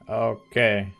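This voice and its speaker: A woman's voice: sits low, creaky. A woman giving casual let's play commentary as she reacts to a video game.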